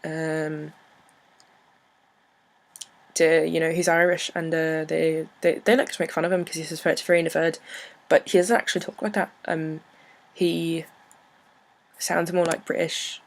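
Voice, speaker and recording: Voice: mid-range voice, little pitch movement, measured pace, long pauses. A young adult vlogger chatting casually to the camera, rambling with lots of fillers and self-deprecating asides.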